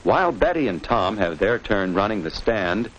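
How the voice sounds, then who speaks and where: A man's voice: fairly low pitch, sing-song, normal speed. A man reading scripted narration for a children's instructional film in a didactic way, posing questions and answering them. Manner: didactic, asking and answering his own questions. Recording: noisy old educational film soundtrack.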